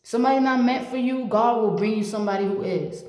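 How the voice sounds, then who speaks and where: A woman's voice: a little high, fast talker, dark tone. Blunt woman in an echoey room. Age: 20s. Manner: blunt, repetitive, preachy. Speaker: vlogger. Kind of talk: motivational talk to camera. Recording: echoey room with long reverb.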